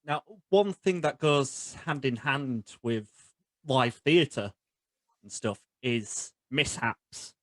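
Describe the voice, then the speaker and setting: A man's voice: mid-range voice, animated, takes it slowly, fairly bright tone, no room sound. A young man hosting a casual interview, slightly hesitant as he frames his questions. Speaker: podcast host.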